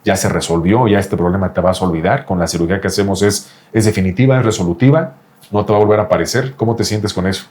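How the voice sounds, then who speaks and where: Fast-talking low-voiced male doctor. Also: darkish tone. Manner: explanatory, insistent, often repeats the listener's name. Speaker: doctor specializing in reproductive andrology. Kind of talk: explanatory interview talk with a patient.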